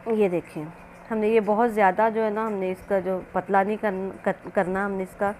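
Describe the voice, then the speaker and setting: Female home-cook host, casual step-by-step narration, mid pitch, faint noise on an amateur recording. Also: level tone, normal speed, darkish tone. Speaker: home cooking channel host. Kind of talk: casual recipe demonstration narration.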